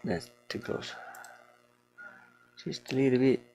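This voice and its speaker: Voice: mid-range voice, steady tone, takes it slowly, creaky, warm tone, highs cut off. Game developer muttering casually while working. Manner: muttering to self, playful asides.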